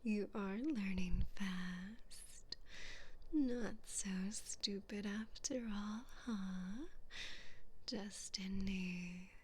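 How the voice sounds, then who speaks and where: Female performer as cruel evil queen for ASMR roleplay: breathy whisper, extremely slow delivery, condescending and possessive. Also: mid-range voice, full character voice. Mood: patronizing, smug. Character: cruel, mocking evil queen toying with her captive.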